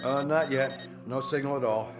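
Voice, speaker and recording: A man's voice: medium pitch, steady tone, normal speed, music in the background, natural voice. An actor playing a tired, dutiful radar watchman in a radio drama, deferential and hesitant. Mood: subdued.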